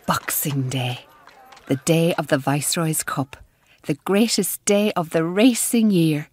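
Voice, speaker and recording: Slow, reflective female storyteller. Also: sits low, a little mellow, husky. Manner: measured, descriptive. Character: refined, reflective storyteller of a racing tale. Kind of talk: scripted radio drama narration.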